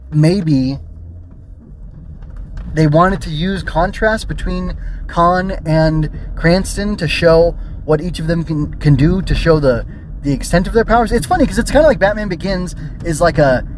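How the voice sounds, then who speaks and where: A man's voice: on the high side, darkish tone, slight background noise, low-quality recording. A man hosting a casual solo review podcast, rambling and thinking aloud with tentative digressions. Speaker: podcast host and film reviewer.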